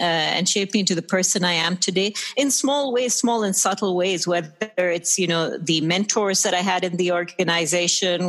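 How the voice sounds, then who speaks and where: Low-voiced woman from the Emirates, reflective. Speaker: interview guest, business executive. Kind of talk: conversational interview answers.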